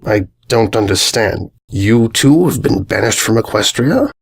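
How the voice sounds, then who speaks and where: A raspy, low-voiced centaur demon from a storybook, acted out by a male reader. He is menacing and pompous, speaking in slow, grandiose phrases with an exasperated edge. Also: expressive, exaggerated voice. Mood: puzzled, bargaining. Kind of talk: storybook narration character voice.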